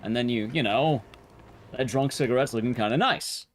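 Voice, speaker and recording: A young man streaming to his chat, talking casually, rambling and chatty with sudden jumps in pitch. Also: normal speed, a little hiss or hum.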